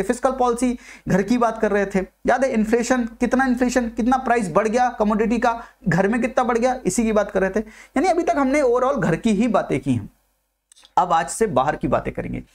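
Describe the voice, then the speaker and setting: A male economics teacher giving an exam-prep video lecture, repeating each point and asking the listener questions. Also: sits high. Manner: mixes English terms into talk.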